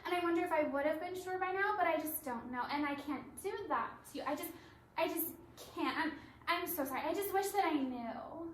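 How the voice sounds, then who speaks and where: A woman on stage acting a torn, apologetic young reality show contestant, halting and repeating herself, in a readers theatre piece. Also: very high-pitched. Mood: apologetic, pained. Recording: roomy stage recording with faint noise.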